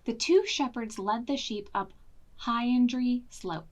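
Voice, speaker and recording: A woman's voice: fairly high pitch, slow, highs cut off. A woman reading a picture book aloud to preschoolers as a storytime reader, with dramatic emphasis. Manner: dramatic emphasis, questions to young listeners. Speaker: children's library storytime reader.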